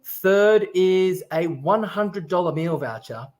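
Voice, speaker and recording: A man's voice: high voice, lively intonation, takes it slowly, darkish tone, slight background noise. A man raised in Japan, casually hosting an online festival stream and explaining things step by step in an upbeat way.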